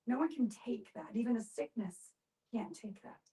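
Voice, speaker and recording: High-voiced woman, slightly off mic. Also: moderate pace, vocal fry, warm tone, far from the mic, poor audio. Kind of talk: group discussion, earnest explaining.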